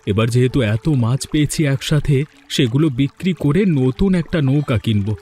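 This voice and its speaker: A man voicing a blunt, short-tempered adult fisherman in a cartoon, gruff and scolding. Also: mid-range voice, expressive, a little fast, dark tone, a little hiss or hum, close to an everyday voice. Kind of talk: cartoon dub acting.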